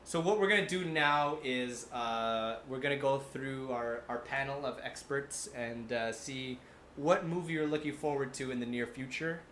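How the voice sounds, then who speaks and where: A man's voice: mid-range voice, moderate pace, fairly bright tone. A man hosting a casual film podcast, chatting in a jokey, playful way. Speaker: podcast host. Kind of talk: casual chat about films. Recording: roomy echo, faint background noise.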